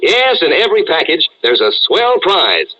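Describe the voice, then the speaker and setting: A man's voice: a little high, sing-song, normal speed, bright, forceful tone. An American man announcing in 1940s radio advertising style, with punchy, emphatic sales delivery. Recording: dull, band-limited old radio recording.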